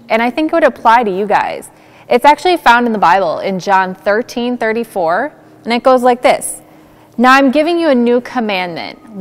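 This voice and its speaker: Lady acting as nice level-headed agent gal, higher voice, sincere kids skit delivery. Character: kind, level-headed young female agent, gentle moral guide. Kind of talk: children's church skit acting.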